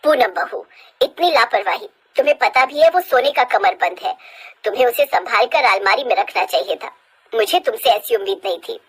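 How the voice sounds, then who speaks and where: A woman voicing a stern, fair-minded older mother-in-law with a measured, admonishing, authoritative way of talking in a cartoon family story. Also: on the high side, animated, a bit bright, slightly rough. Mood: stern disappointment.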